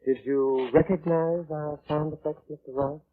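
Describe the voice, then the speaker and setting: Actor as calm probing questioner, muffled old radio. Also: male voice, a little high, natural voice. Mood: coolly probing. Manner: measured, politely insinuating. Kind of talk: 1940s radio drama acting. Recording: muffled old radio broadcast, faint music.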